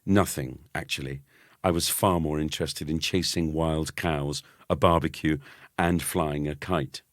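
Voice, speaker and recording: A man narrating a children's story expressively, with dramatic and deliberate phrasing. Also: a bass voice, fairly even intonation, dry recording, studio quality. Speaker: audiobook narrator.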